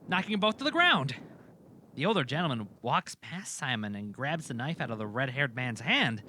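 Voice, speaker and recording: A man's voice: medium pitch, sharp tone, a little hiss or hum. A man narrating an audio drama as a scripted story, in a dramatic storytelling style.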